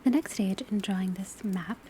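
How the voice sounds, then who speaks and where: Witch academy professor female performer, ASMR roleplay. Hushed, breathy, dark-toned whisper, precise and instructive, faint ambient noise behind. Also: medium pitch, normal speed, natural voice. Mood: attentive, explanatory. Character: patient, scholarly witch school divination professor. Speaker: teacher in a classroom roleplay. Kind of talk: soft ASMR roleplay lecture. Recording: soft steady background ambience noise. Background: crackling fireplace, pencil writing, rain on rooftop.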